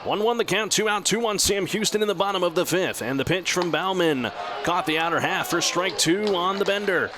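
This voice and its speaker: A man doing live radio baseball play-by-play in measured, descriptive, clipped phrases. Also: high voice. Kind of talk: live radio sports commentary. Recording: clear steady outdoor ballpark noise.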